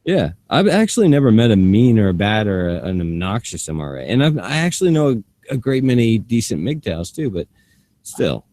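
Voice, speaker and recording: Lowish-voiced chill co-host guy, wry, lots of restarts, dry sound. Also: very animated, brisk, mellow, no echo. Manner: laid-back, wry, hesitant restarts. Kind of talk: casual call-in chat.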